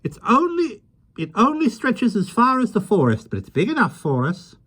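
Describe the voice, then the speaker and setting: A man's voice: sits high, wildly swooping pitch, normal speed, fairly bright tone, husky, voice pushed up, full character voice. A man reading a storybook aloud, voicing a cheerful, chatty little carpenter with quaint old-fashioned sayings.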